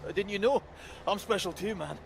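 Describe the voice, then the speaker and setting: A man's voice: sits high, a little fast, creaky, background noise. An actor playing a jittery, cynical male informant from the slums in a video game, edgy and streetwise. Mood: confrontational. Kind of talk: naturalistic game dialogue.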